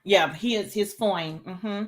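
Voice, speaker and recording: A woman's voice: mid-range voice, slow. A woman in her late thirties chatting casually as a livestream co-host, slangy and emphatic, repeating words for effect. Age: late 30s. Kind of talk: casual, unscripted fan discussion chat.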